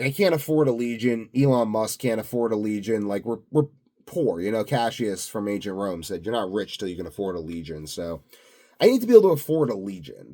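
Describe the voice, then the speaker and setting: A man's voice: lowish voice, mellow. A self-assured, blunt man rambling unscripted to his viewers in a grandiose solo monologue. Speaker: solo video host.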